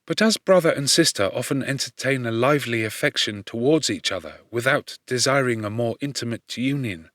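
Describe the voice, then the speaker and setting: A man voicing a gentle, anxious young woman as she writes a tender letter, in an audiobook. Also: mid-range voice, animated, fairly quick, hardly any pauses, dry recording, studio quality, natural voice.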